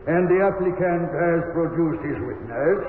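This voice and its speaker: An actor playing a pompous, ceremonious horn spoon lord who presides over knights in a 1940s radio play. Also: male voice, a little high, normal speed, narrow, tinny audio, close to an everyday voice. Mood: stern. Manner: pompous, ceremonious, calls out in chant. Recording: old 1940s radio, echoey hall, thin, noisy.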